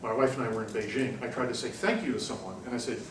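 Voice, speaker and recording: A man's voice: mid-range voice, steady tone, fast talker, slight background noise. A man giving a university lecture, talking in a discursive way with frequent ums and restarts. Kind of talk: classroom lecture. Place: classroom. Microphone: far room recording. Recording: echoey classroom from far off.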